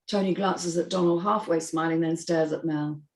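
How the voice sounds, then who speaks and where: A woman's voice: lowish voice, steady tone, normal speed, a little mellow, roomy sound. A woman narrator reading screenplay stage directions in a scripted style.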